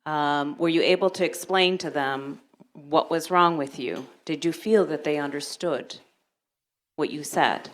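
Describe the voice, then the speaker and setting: A woman from Sudan, a gynecologist speaking on a conference panel, who talks in a measured way and leads the audience through questions. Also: sits low. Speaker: panelist, OB-GYN. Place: lecture hall.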